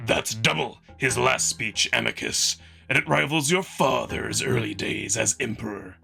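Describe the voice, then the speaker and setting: A man voicing a stern, imperious wolf mentor, speaking in a measured, contemptuous and commanding way. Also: medium pitch, gravelly, sharp tone, breathy edge, soft background music, exaggerated voice. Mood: coldly commanding.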